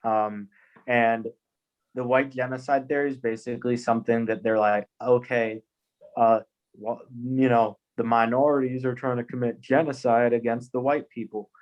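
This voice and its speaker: Podcast host, brisk casual talker, mid pitch, dull video-call sound. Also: steady tone, a little mellow. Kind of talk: moderating a discussion.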